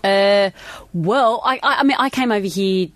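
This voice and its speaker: A woman from Australia, a comedian guest throwing in quick playful remarks in casual chat. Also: medium pitch, a bit bright.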